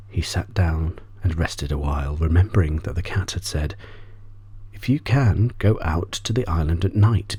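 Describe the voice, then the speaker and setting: A man narrates a bedtime story in a very low, dark voice. His delivery is soft ASMR storytelling that often slips toward a whisper. The tone is breathy and husky, captured close and dry. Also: dry recording. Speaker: audiobook reader.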